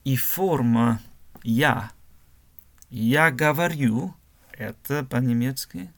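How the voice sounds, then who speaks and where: A man from Germany, teaching a language lesson slowly, spelling words out letter by letter and repeating phrases. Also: medium pitch, creaky.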